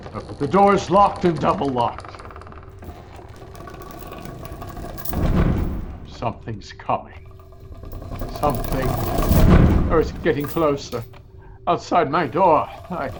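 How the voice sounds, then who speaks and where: Grumpy old miser, swooping pitch. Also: male voice, background noise. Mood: frightened. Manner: grudging, curmudgeonly, sighing. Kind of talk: audio play acting.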